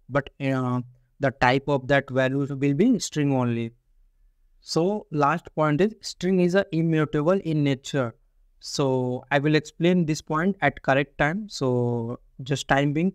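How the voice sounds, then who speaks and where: A man's voice: mid-range voice, clean tone, dry recording. Male coding tutor, step-by-step walkthrough, dead dry close-mic sound. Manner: repetitive, explains step by step with frequent "see" and "only". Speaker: programming tutor. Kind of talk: screen-recorded coding lesson.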